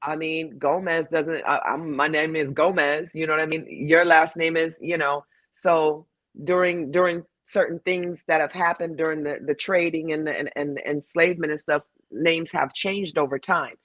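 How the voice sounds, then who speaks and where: A woman's voice: sits low, steady tone, moderate pace, fairly bright tone, no room sound. A woman chatting casually as a panel guest over a video call, blunt and emphatic, often profane. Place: home in Hawaii.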